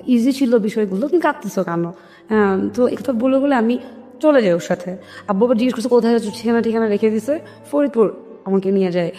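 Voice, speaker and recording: A woman with a Bangladeshi accent telling her personal story in an interview, acting out conversations and mixing in some English words. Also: on the high side. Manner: animated retelling with quoted family dialogue, English words mixed in. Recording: long hall reverb, music underneath.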